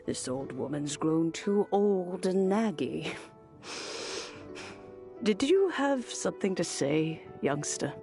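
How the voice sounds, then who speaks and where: A woman voicing a kindly, rambling old lady in a video game, with an aged voice and a gentle, wistful, reminiscing manner. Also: on the low side, very animated, takes it slowly, pauses often, music in the background, exaggerated voice. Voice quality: creaky, aged.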